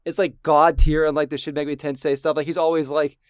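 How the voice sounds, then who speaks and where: A man's voice: a little high, level tone, fast talker, clear, resonant tone, no echo, studio quality. A man co-hosting a gaming podcast, teasing his friends and rambling with lots of fillers. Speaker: podcast co-host. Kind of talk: casual gaming podcast banter.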